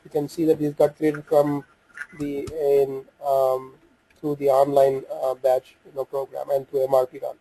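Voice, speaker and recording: A man presenting an informal software walkthrough, talking haltingly as he thinks aloud while working through the screens. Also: medium pitch, completely flat delivery, a little hiss or hum, low-quality recording.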